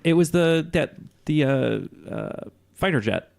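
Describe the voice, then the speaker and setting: A man's voice: fairly high pitch, animated, measured pace, vocal fry, darkish tone. A man playing a trivia game, joking and thinking aloud with many hesitations. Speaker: quiz contestant. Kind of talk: casual podcast banter.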